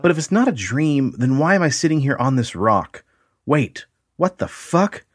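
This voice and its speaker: A man voicing a bewildered, agitated alien abductee in a theatrical, questioning reading, with a husky voice. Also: on the high side, big pitch swings, moderate pace. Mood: agitated, baffled.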